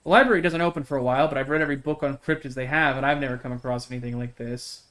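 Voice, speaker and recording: A man's voice: medium pitch, fairly even intonation, racing, a bit bright, lightly acted. A man voicing an earnest, determined young small-town woman who hunts cryptids in a visual novel. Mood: indignant, determined. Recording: game music behind the voice.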